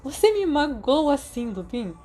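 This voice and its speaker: Woman voicing a cocky, theatrical teenage boy, lifted pitch. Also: big pitch swings, measured pace, mellow. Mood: mock offended. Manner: playful, exclamatory.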